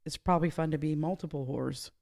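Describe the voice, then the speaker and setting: Hoarse woman, gravelly, podcast co-host, chatty, explains anatomy. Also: sits low, quick pace, a little mellow. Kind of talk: casual podcast chat.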